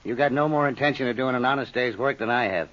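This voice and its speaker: An American actor playing a sly small-time schemer in a 1950s radio crime drama, insinuating and full of false friendliness. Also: male voice, medium pitch, fast, a bit bright, natural voice. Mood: needling. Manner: smooth-talking, insinuating, mock-friendly. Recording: dull, muffled old radio recording.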